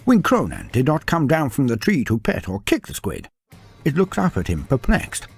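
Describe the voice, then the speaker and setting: A man's voice: medium pitch, huge pitch swings, normal speed, creaky, slightly rough, no room sound. A man narrating a humorous story in a dry, wry manner, breaking off into playful sidebars. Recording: faint music behind narration.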